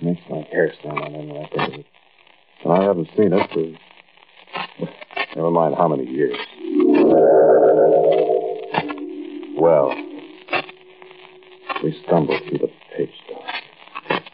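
An American man playing a frightened robber who narrates a night heist in a halting, conversational 1940s radio drama style. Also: extremely low pitch, fairly even intonation. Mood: brooding. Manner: conversational, brooding, halting storytelling. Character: uneasy, frightened male bank robber recounting a night heist. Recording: muffled, noisy old-time radio recording.

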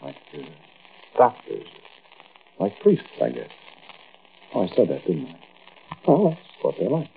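An actor playing a folksy American man telling a first-person story in 1940s radio style, conversational and musing, with hesitant pauses. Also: low-pitched, huge pitch swings, vocal fry, heavily acted. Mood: musing, uneasy. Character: folksy American man recounting an uncanny mountain outing. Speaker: narrator and lead character. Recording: muffled, hissy old-time radio recording.

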